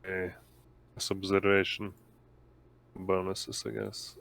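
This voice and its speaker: Casting: male game player, unhurried and creaky, casual table talk. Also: low-pitched, little pitch movement, fairly bright tone.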